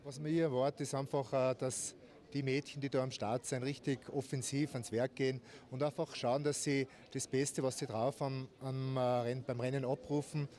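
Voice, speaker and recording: A middle-aged sports director answering press questions with many hesitant fillers. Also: male voice, mid-range voice, flat delivery, normal speed, a little mellow. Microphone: handheld mic.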